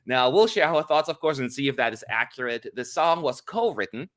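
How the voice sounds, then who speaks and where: A man hosting a casual online panel chat on a video call, talking in a lively way with lots of fillers, from a home room. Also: medium pitch, fairly quick. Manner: lively, chatty, frequent "y'all" and fillers. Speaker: host. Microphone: studio mic on a video call.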